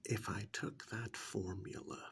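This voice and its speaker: Man acting out a gravelly whispering sadistic killer. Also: fairly low pitch, level tone, a bit bright, full character voice. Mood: clinical, sinister. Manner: slow, menacing, deliberate whispering with pauses. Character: cold, sadistic male serial killer, methodical and gloating. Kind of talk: soft-spoken ASMR role-play monologue.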